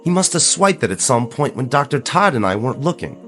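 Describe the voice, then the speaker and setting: A man's voice: mid-range voice, very animated, fairly quick, fairly bright tone, soft background music. An actor playing an anxious, determined small-town man in an audio drama, speaking earnestly and persuasively. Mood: worried, urgent.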